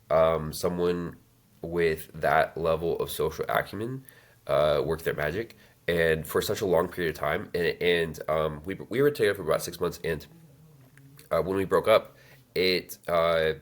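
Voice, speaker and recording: A man in his thirties telling his life story as an interview guest, halting with many fillers and restarts. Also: very deep voice. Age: 30s. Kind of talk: reflective personal storytelling.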